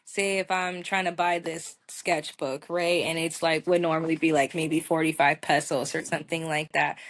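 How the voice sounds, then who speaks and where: An American woman with a moderately low voice speaks as a podcast guest. Her delivery is candid and conversational. She phrases things informally and colloquially, as in relaxed everyday talk. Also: moderate pace. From United States. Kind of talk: casual conversational interview answers.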